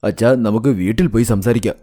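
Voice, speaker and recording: A man's voice: on the low side, fairly quick, warm tone, lightly acted. A man playing an earnest, protective adult son in a cartoon moral story. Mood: alarmed, earnest.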